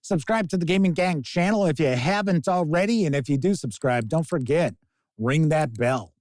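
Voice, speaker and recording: A man hosting a tabletop game review, talking casually to camera with an emphatic sing-song delivery and drawn-out words. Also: on the high side, a little mellow, dry recording.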